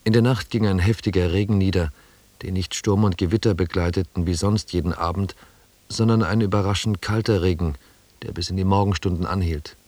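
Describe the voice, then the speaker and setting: Reflective expedition narrator, deep voice, close dry sound, literary radio drama delivery. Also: male voice, steady tone, brisk, darkish tone, no echo. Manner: reflective, descriptive. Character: reflective male expedition member recalling the jungle.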